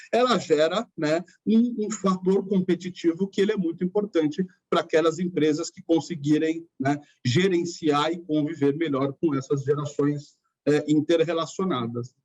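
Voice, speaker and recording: A man's voice: fairly high pitch, moderate pace, darkish tone. A man in his forties speaking thoughtfully on a panel, often tagging sentences with "né". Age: 40s. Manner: thoughtful, with frequent "né" tags. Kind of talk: webinar panel discussion. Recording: muffled lo-fi audio.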